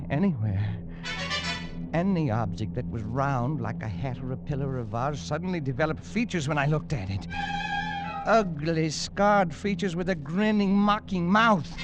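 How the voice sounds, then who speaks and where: An actor playing a nervous, haunted adventurer who narrates his torment in an agitated, stammering way, in old-time radio drama style. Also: fairly high pitch. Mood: frantic, horrified. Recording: dull vintage radio recording, music behind. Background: dramatic music.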